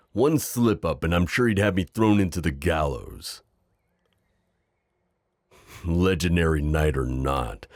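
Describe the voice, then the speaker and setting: Man acting very deep, gravelly courtly knight. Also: darkish tone, dry recording, studio quality. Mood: reassuring, coaxing. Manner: formal, courtly, tender, hesitant. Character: protective, possessive gallant knight, mentor to a princess. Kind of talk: intimate roleplay audio acting.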